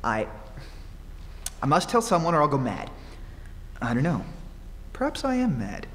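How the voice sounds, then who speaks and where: A man acting a tormented concert pianist in an old-time radio horror play, overwrought and halting, on stage in a reverberant hall. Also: on the high side, huge pitch swings, a bit bright. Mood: haunted, confessional. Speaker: amateur stage actor. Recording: noisy live theatre hall, long reverb.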